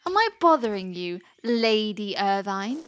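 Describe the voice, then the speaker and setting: A woman's voice: medium pitch, big pitch swings, measured pace, slight background noise. A woman playing a taunting, mischievous, spoiled young princess in a fantasy audio drama, theatrical and sarcastic. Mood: mocking, teasing.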